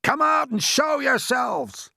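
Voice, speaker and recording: A man voicing a bossy, alarmed and gullible police chief in a loud storybook reading. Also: on the high side, big pitch swings, gravelly, slightly rough, dry recording, clean studio sound, voice pushed up, exaggerated voice. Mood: commanding. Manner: loud, commanding, drawn-out exclamations.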